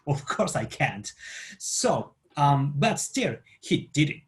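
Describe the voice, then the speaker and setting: A Japanese man speaking English as a second language with a Japanese accent, explaining a book in a halting way as he searches for words. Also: a little high, very animated. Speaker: book channel host.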